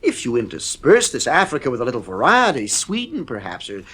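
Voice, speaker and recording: A man's voice: mid-range voice, wildly swooping pitch, brisk, fairly bright tone, slightly rough, exaggerated voice. An actor playing a stern, exasperated middle-aged father in a 1950s radio drama, speaking in a firm, clipped, authoritative way.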